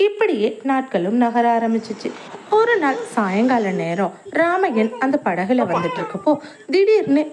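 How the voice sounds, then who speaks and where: A woman's voice: a little high, sing-song, moderate pace, crowd noise, poor audio. Woman narrating a moral story.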